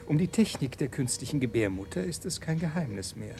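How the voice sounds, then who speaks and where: A man's voice: medium pitch, expressive, fairly quick, vocal fry, a little mellow, soft background music. A man playing an unctuous colony scientist in a German science fiction radio play, speaking with theatrical reverence. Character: unctuous male colony scientist praising his bee queen.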